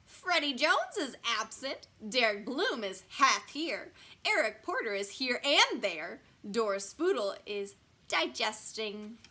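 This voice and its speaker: A woman voicing a cackling, gleefully cruel monster schoolteacher in a children's storybook, with drawn-out, mock-sweet theatrical menace. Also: a little high, big pitch swings, pushed and bright, exaggerated voice. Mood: smug menace.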